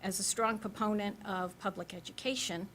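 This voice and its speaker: Measured woman in her fifties. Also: medium pitch, steady tone, a bit bright, a little hiss or hum. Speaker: school board member and candidate. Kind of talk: candidate statement at a public forum.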